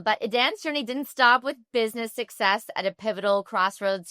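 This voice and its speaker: A woman's voice: medium pitch, bright, forceful tone, no echo, clean studio sound. A woman hosting a podcast interview, upbeat and chatty, with lots of "kind of" and "sort of" fillers. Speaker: podcast host.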